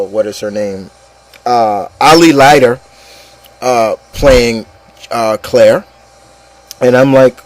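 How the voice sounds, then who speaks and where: A man hosts a casual opinion show in a mid-pitched voice. He talks informally, as if to a group of buddies, and the recording is dry with very little room sound. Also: dry recording. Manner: informal, conversational, addressing listeners as guys. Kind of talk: casual opinion chat about movies.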